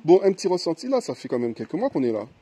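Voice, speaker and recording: A man's voice: fairly high pitch, very animated, rapid-fire, warm tone, breathy edge. Informal man asking questions. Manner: teasing, informal. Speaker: vlog host and interviewer. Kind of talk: casual interview chat. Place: outdoors in a residential neighbourhood.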